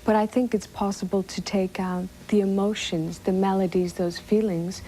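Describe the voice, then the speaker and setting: A young Irish woman, Irish Gaelic her first language, giving soft, hesitant interview answers with many fillers. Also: medium pitch, steady tone, moderate pace, a little hiss or hum. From northwest Ireland. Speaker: musician guest. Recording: dull lo-fi broadcast tape.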